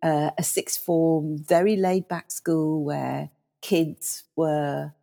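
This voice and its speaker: A middle-aged woman from South Africa, an Afrikaans native speaker, recalls her schooling as an interview guest. Her voice sits low and carries a gravelly fry, and the tone is dark and mellow. She talks in a thoughtful, measured way, pausing on fillers as she gathers her thoughts. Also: level tone. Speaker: guest, former school leader. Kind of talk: reflective interview storytelling.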